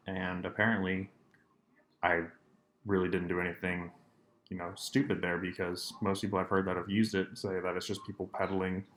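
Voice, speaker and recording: A young man hosting his own solo podcast, rambling casually and deadpan with lots of ums and asides. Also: low-pitched.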